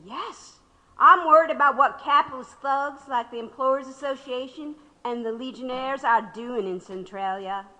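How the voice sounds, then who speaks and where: A woman's voice: high voice, normal speed, sharp tone. An actress playing a defiant, sarcastic woman activist in her sixties in a radio drama. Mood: mocking, wry. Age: 60s. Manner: sarcastic, mocking, oratorical. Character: defiant, sharp-tongued 66-year-old woman activist under arrest. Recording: reverberant hall-like room.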